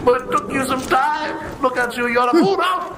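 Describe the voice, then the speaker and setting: A man playing a pompous, theatrical British villain, shouting taunts over a game voice chat. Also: very high voice, normal speed. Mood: gloating. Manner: pompous, shouting his moves. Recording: game voice chat clip with crowd noise.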